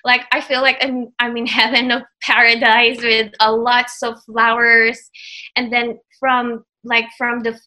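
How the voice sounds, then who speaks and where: Slow, bright-voiced Filipino woman. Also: fairly high pitch, little pitch movement. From Philippines. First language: Tagalog. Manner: hesitant, many fillers and restarts, laughs often. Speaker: graduate of an online program. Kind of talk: casual interview.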